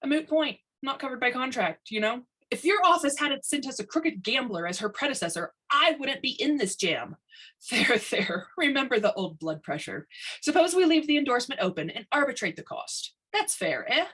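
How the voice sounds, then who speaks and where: A woman's voice: high-pitched, very animated, normal speed, fairly bright tone, slightly rough, voice pushed up, exaggerated voice. A woman voicing a brash, cheerful and smug male chief inspector with a breezy, pedantic manner in a story reading. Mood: smooth, insistent. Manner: breezy, pedantic, formal wording.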